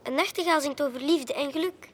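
A woman voicing a small, gentle, poetic nightingale in a children's radio drama. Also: sits high, fairly quick, a little hiss or hum, natural voice.

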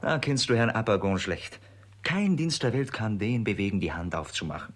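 Husky, cheeky, sardonic servant. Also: male voice, fairly low pitch, lively intonation, normal speed. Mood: mocking. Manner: mocking, theatrical, emphatic. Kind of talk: German radio play stage acting.

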